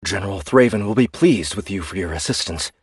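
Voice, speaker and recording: A man voicing a small, cunning, rodent-like alien soldier who gives calm, clipped commands in an ordinary low voice. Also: a little fast, husky, no room sound, full character voice. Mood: cool, commanding.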